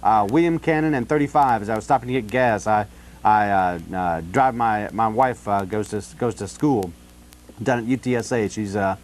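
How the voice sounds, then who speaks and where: An American man in a casual phone conversation, talking in a halting, rambling way with frequent "you know". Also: lowish voice. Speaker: city council candidate on a phone call. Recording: telephone call.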